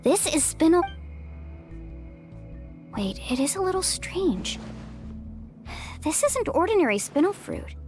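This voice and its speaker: A woman playing a confident, upbeat young female guide in a video game, brisk and encouraging, with music behind. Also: extremely high pitch, sing-song, full character voice. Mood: serious, thoughtful. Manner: brisk, encouraging, take-charge.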